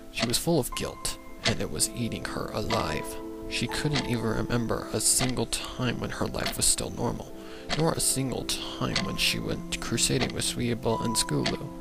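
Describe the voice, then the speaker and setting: Low gravelly guy narrating a dramatic story, loud music under him. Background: loud background music.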